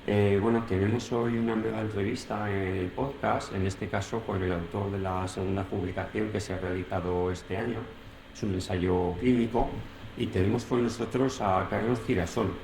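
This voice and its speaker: A man's voice: on the low side, steady tone, normal speed, darkish tone, roomy sound, slight background noise, rough recording. A man from Spain hosting an interview podcast, asking long questions with lots of hesitant fillers. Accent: Spain Spanish. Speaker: podcast host.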